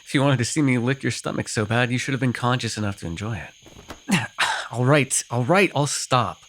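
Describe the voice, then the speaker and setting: A man playing a sarcastic vampire with a soft spot in an ASMR roleplay, talking in a teasing, conversational way with sighs and hesitations. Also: medium pitch, moderate pace, a little hiss or hum, lightly acted. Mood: exasperated, teasing. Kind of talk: immersive ASMR roleplay acting.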